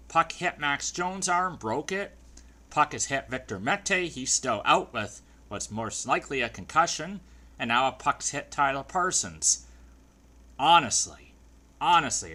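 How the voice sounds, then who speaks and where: A man recapping hockey games in a casual, rambling vlog, with a dry throat that he keeps clearing. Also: on the high side, pushed and bright. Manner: chatty, rambling with many ums and restarts. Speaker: fan video host.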